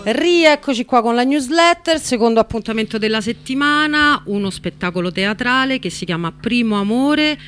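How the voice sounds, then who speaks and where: A woman's voice: fairly high pitch, lively intonation, normal speed, hardly any pauses, a bit bright, smooth. A woman hosting a community radio show, announcing the next segment in a chatty, self-mocking way. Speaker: radio host.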